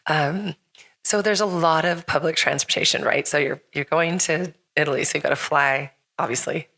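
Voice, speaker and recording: A middle-aged woman hosting a chatty podcast, her voice low and gravelly with a lingering rasp from illness. Her tone is bright and forward, and she talks in a loose, rambling way full of fillers and restarts. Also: moderate pace. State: slightly raspy from recent illness. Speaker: podcast host. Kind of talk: casual chat.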